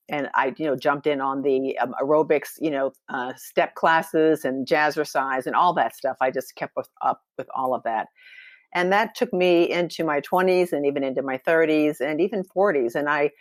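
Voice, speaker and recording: A woman's voice: low voice, no room sound. A woman in her sixties, a podcast guest, telling her story in a chatty, upbeat way with lots of fillers and restarts. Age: 60s. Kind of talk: casual storytelling interview.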